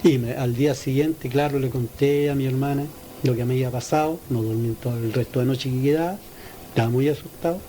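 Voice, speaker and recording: Man giving halting testimony over background noise. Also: medium pitch, fairly even intonation, warm tone. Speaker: interviewee telling a personal experience. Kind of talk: informal spoken testimony. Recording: noisy ambient field interview recording.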